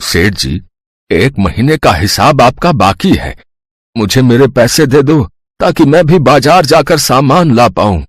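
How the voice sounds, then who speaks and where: A man's voice: medium pitch, sing-song, a little slow, husky, dry recording, clean studio sound, voice pushed down. A man voicing a poor, kindly old village butcher, humble and pleading, in a cartoon story. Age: older. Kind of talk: Hindi cartoon story dialogue.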